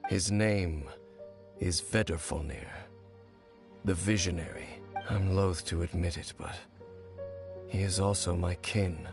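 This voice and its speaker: An actor playing a grave, brooding ancient warrior in a video game, speaking in a low, measured, solemn way. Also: male voice, fairly even intonation, creaky, exaggerated voice. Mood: bitter grave. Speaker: game character. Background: faint game music.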